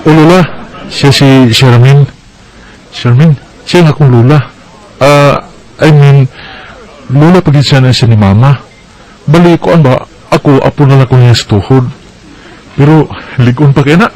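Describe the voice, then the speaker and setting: An actor with a Bisaya Filipino accent playing an affectionate, chatty young boyfriend in a radio drama. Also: male voice, medium pitch, unhurried, pauses often, a little mellow, natural voice, poor audio. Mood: cheerful, eager. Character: eager, affectionate young boyfriend introducing his sweetheart.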